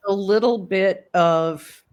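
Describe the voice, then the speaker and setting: A woman hosting a casual sports chat, talking through the game in a measured, analytical way. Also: mid-range voice, darkish tone, clean tone, lo-fi sound. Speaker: host.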